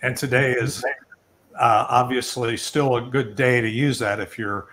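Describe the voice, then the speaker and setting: A man's voice: medium pitch, fairly even intonation, a little slow, fairly bright tone, slight background noise. An older man hosting an online networking event, talking informally and rambling a little as he presents and takes questions. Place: online video call.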